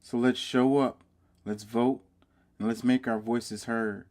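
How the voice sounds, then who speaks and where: A man's voice: medium pitch, steady tone. A man hosting, reading an earnest civic voice-over and chatting casually with his audience, saying "y'all". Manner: earnest and measured in narration, loud and chatty when live. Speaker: host. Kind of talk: scripted voice-over narration and casual live talk.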